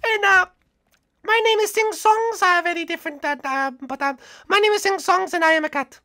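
A man voicing a cranky, insulting cat character in a blustering, scornful falsetto for a comedy skit. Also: moderate pace, pushed and bright, full character voice. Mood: introducing himself. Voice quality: shrill falsetto. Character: cranky, insulting cat-suited experiment victim named Sing Songs.

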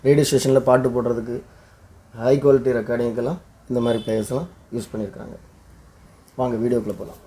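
A man's voice: medium pitch, dark tone. A man with an Indian Tamil accent hosting and explaining in a vlog style. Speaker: host.

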